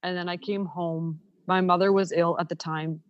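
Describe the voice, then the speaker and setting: Candid woman, low voice, dull lo-fi sound. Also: fairly even intonation, moderate pace, no room sound. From Canada. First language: Ukrainian. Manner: frank and earnest, acts out quoted people. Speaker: podcast guest. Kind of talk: candid personal storytelling.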